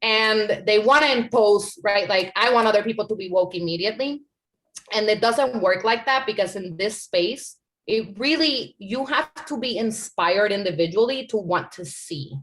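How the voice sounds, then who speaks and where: A woman's voice: mid-range voice, moderate pace, fairly bright tone, low-quality recording. A woman from Puerto Rico answering questions on a panel, earnest and conversational, often adding "like" and "right?". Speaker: panel speaker.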